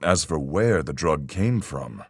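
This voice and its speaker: Game sailor-sleuth, low gravelly voice, lively intonation, folksy nautical phrasing, measured, reasoning, calm. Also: male voice, no echo, studio quality, exaggerated voice. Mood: thoughtful, explanatory. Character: calm seafaring man, salty sailor-sleuth reasoning through a murder. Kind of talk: video game voice acting, deliberate argument.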